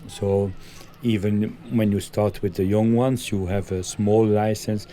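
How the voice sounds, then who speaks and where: Halting low-voiced man from Belgium. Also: flat delivery, warm tone, a little hiss or hum. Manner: halting, many ums, loose grammar. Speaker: football coach. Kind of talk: interview answers.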